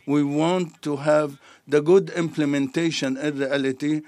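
Male interpreter, slow and deliberate, dry close sound. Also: fairly high pitch, fairly even intonation, darkish tone, dry recording. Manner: measured, pausing between phrases.

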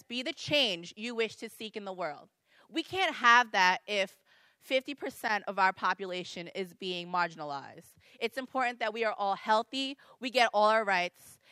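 A woman from Brooklyn, a university student, giving a loud, earnest short speech to a forum. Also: medium pitch, clear, resonant tone, dry recording, low-quality recording. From Brooklyn, New York.

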